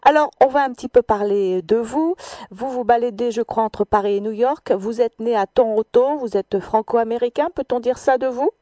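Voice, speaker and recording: A woman hosting a literary radio show, talking in a measured, chatty way with frequent hesitations. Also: a little high, warm tone, dry recording. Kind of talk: radio book interview.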